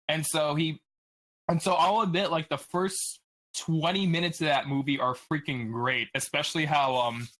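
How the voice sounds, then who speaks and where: A man with a fairly high voice sits in on a casual podcast discussion. He talks in a loose, rambling way, sprinkling "like" through his sentences. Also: fairly bright tone. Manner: rambling, peppered with "like". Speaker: podcast panelist.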